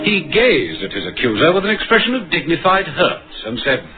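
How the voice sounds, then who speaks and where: Composed British inspector, lively intonation. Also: male voice, fairly high pitch, faint music behind. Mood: dry narration. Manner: brisk, formal, dryly authoritative. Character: composed, probing male British police inspector. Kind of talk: old-time radio drama acting. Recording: muffled old radio broadcast recording.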